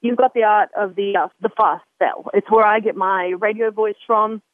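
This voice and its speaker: A woman's voice: medium pitch, steady tone, moderate pace, dry recording. A woman hosting a radio talk show, chatty and often restarting her sentences. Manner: chatty, self-interrupting, repeats words. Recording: narrow phone-like line.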